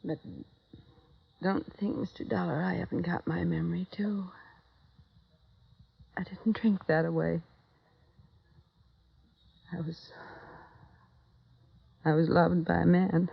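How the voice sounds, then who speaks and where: An actress playing an ashamed, vulnerable widow with a hard past in a 1950s radio drama, speaking in a halting, emotional way. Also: female voice, on the low side, little pitch movement, vocal fry, breathy edge, exaggerated voice. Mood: grieving, fragile. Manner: halting, emotional, dropping into whispers. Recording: muffled vintage 1950s radio recording.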